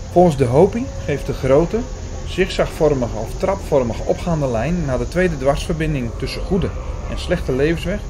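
A man playing an earnest first-person narrator in an audio drama, speaking in a measured, formal, bookish way. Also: mid-range voice, noisy background, lightly acted. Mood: grave. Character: Hugo, earnest male first-person narrator reading out prophecy.

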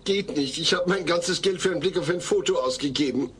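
Man playing a flustered, higher-voiced family man. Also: fairly quick, a crowd in the background, natural voice. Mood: worried. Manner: hesitant, apologetic. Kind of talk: German audio play acting. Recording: slightly noisy old cassette.

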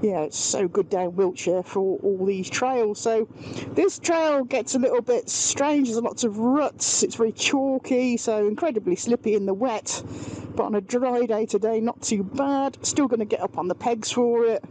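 Mellow British woman chatting while riding. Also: on the high side, normal speed, slight background noise, low-quality recording. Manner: chatty, self-deprecating, giggly. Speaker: motorbike vlogger. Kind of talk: casual riding commentary. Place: outdoors, riding a motorbike on country trails.

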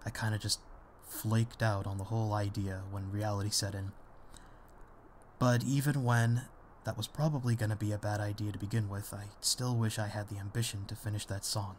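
A man playing a gentle, self-deprecating father in an ASMR roleplay, speaking softly and hesitantly to comfort his grown daughter. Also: fairly low pitch, flat delivery, moderate pace, slight background noise, natural voice. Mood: wistful, tender. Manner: hesitant, soft, soothing, with small chuckles. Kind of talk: intimate ASMR audio roleplay.

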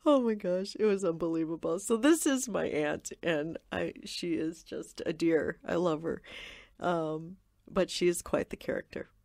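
Gravelly-voiced woman telling a family story. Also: fairly low pitch, a little slow, dry recording, clean studio sound. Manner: warm, laughing anecdotes. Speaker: podcast guest. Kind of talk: casual storytelling.

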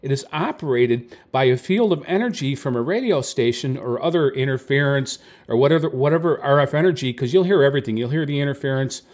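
A man's voice: mid-range voice, lively intonation, darkish tone. Male hobbyist host, mature, unscripted and conversational, tentative delivery that often doubles back to correct itself. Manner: halting, self-correcting, tentative. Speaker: video host, radio hobbyist. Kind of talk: casual product talk, unscripted explaining.

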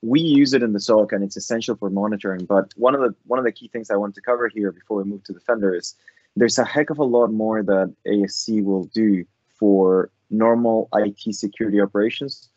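A man's voice: fairly low pitch, steady tone, fairly quick, darkish tone, dry recording, lo-fi sound. A man with a Scottish accent co-presenting a technical webinar, summarizing things in structured, numbered points.

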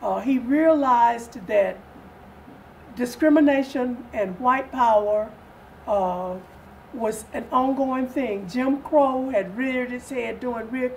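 An older woman speaking as an interview guest in a measured, deliberate way with pauses. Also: fairly high pitch, creaky, darkish tone. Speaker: guest from a readers theatre group. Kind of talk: interview conversation. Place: indoor sitting room set. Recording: distant mic with faint room noise.

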